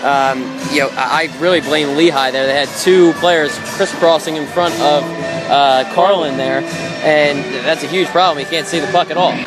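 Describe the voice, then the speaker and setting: A man giving unscripted colour analysis on a live hockey broadcast, conversational and a little halting. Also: a little high, fairly even intonation, music in the background, rough recording. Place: indoor ice rink broadcast position.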